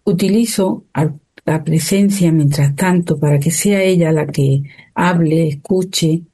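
A woman, calmly reflecting as a co-host. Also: low voice, measured pace, breathy edge. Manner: calm, reflective, thinking aloud.

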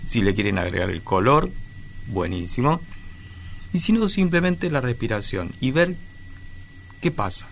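A man with a gravelly, creaky voice talks listeners through a short exercise. He speaks calmly and guides them step by step. A faint hum runs behind him. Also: mid-range voice, unhurried, long pauses. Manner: calm, guiding, relaxed. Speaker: guest.

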